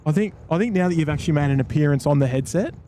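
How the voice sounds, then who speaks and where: A man's voice: fairly high pitch, rapid-fire. Eager stammering man hosting a stream. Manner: eager, stammering, repeats words. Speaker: plane-spotting livestream host. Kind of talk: casual chat. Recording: loud outdoor traffic noise.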